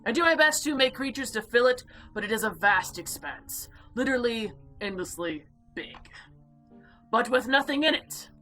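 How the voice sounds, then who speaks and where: A woman voicing an imperious, condescending eldritch female being in a visual novel, speaking formally and deliberately. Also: fairly high pitch, expressive, a bit bright, soft background music. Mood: coldly haughty. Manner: formal, haughty, deliberate speech. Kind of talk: visual novel character voice-over.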